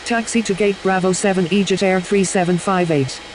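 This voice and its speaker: A woman's voice: medium pitch, steady tone, brisk, background noise, natural voice. A woman playing a businesslike airline pilot in a flight game with clipped, scripted radio phraseology. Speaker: airline pilot on air traffic control radio.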